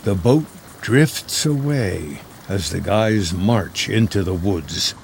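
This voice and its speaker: A man's voice: sits low, lively intonation, slow, vocal fry, slight background noise. A man with an American accent reading scripted screenplay narration in the present tense.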